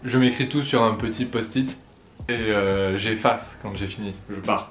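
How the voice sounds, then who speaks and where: A man's voice: fairly low pitch, steady tone, moderate pace. A young man from France answering viewer questions in a casual vlog, playful and joking at his own expense. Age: young adult. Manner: playful, jokey, laughs at himself. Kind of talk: casual Q&A vlog, switching between French and Chinese. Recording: echoey room with soft background music.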